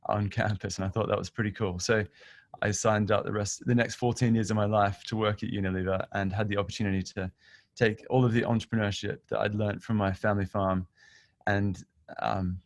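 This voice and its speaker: Australian male speaker, middle-aged, lower-pitched, informal business presentation, dry recording. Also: dry recording. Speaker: guest speaker, business founder and session lead. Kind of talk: informal presentation telling own career story.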